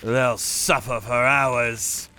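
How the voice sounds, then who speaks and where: A man voicing a grim, vengeful torturer who narrates his work in a manga dub with deliberate menace. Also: medium pitch, steady tone, bright, forceful tone. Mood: cold menace. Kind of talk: dramatic first-person manga dub narration.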